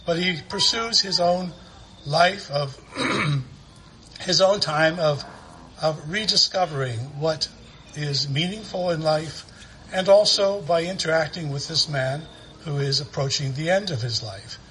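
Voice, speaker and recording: Bright-voiced, thoughtful man outdoors. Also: on the high side, unhurried, slight background noise, rough recording. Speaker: church member recommending a book. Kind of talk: casual personal sharing.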